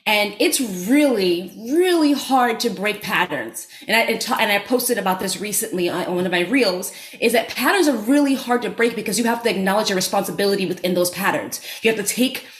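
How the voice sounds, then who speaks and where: A woman's voice: mid-range voice, expressive, a little fast, few pauses. Woman podcast host, reflective and earnest, recorded in a roomy space. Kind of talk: casual podcast chat.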